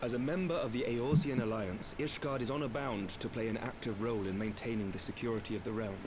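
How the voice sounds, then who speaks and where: An actor playing a formal, courteous and dutiful knight commander in a fantasy game, speaking in a measured way. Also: male voice, medium pitch, fairly even intonation, close to an everyday voice. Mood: earnest, resolute. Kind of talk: fantasy game cutscene acting. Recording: game audio under loud ambient noise.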